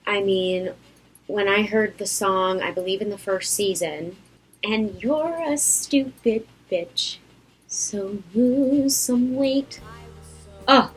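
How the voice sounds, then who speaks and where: A woman's voice: medium pitch, slow pace, slight background noise, poor audio. A woman actor speaking reflectively as a fan.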